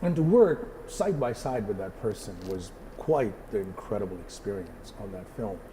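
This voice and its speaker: A man's voice: lowish voice, lively intonation, normal speed, warm tone, a little hiss or hum, poor audio. Thoughtful middle-aged man, darker tone. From Pakistan. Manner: thoughtful, with frequent um and uh fillers and restarts. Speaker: interview guest, photographer. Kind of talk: reflective interview answers.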